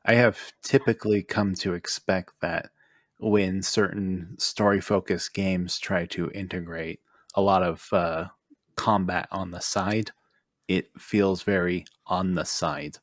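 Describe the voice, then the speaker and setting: A man's voice: low-pitched, steady tone, slow pace, no room sound, clean studio sound. A man on a podcast panel, talking casually.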